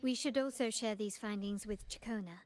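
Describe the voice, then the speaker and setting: A woman's voice: medium pitch, moderate pace, darkish tone, no room sound, natural voice. An actress playing a poised, shrewd noblewoman in a game, speaking in a formal, composed, analytical way. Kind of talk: scripted game voice acting.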